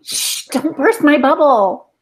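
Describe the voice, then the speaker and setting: A woman's voice: sits high, lively intonation, takes it slowly, low-quality recording. A woman chatting casually on a podcast panel in a teasing, playful way. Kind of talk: casual chat.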